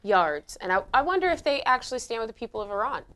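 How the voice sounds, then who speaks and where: A woman co-hosting a casual political talk show, chiming in with quick quips and agreement. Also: a little high, very animated, a bit bright. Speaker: co-host. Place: lives in Florida.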